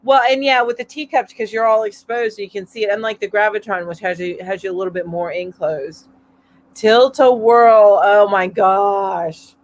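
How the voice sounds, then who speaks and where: A woman's voice: mid-range voice, fairly even intonation, normal speed. A middle-aged woman hosting a casual live-stream chat from home, reading out viewer comments in a chatty, rambling way, a little stuffy from allergies. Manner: chatty and rambling, with frequent fillers and laughs. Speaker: host of a weekly live chat. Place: home, mountain area.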